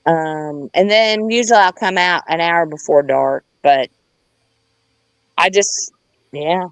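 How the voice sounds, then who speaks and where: A woman's voice: lowish voice, slow, no room sound. A woman with a Southern US accent chatting casually in a folksy, plain-spoken way as a gardener on a livestream. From United States. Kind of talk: casual rambling chat.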